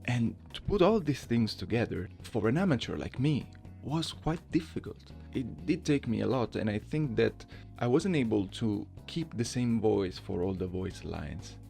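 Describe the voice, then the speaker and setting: A man's voice: mid-range voice, expressive, moderate pace, creaky, few pauses, warm tone, soft background music. A man hosting a gaming channel, talking in a casual video essay style. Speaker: gaming channel host, amateur voice impersonator.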